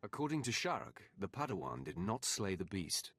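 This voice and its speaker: A man's voice: sits low, big pitch swings, normal speed, a bit bright, husky, no room sound, soft background music, full character voice. A man voicing a proud, ruthless Sith apprentice in a video game, curt and haughty. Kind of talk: scripted video game acting.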